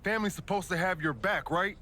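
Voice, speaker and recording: A man's voice: high-pitched, fairly quick, bright, forceful tone, a little hiss or hum. A man playing a fiery pro wrestler in a video game, speaking slangy, punchy lines with emphasis. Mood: casual confidence. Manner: slangy, punchy, emphatic delivery in short bursts. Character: fiery pro wrestler rallying his allies. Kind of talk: wrestling promo and video game cutscene acting.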